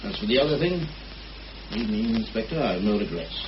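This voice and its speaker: An actor playing a resolute, plain-spoken veteran village police sergeant in a radio murder mystery. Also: male voice, on the low side, very animated, a little fast. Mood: resigned, unrepentant. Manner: plain, homely, firm phrasing. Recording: muffled old radio recording with clear hiss.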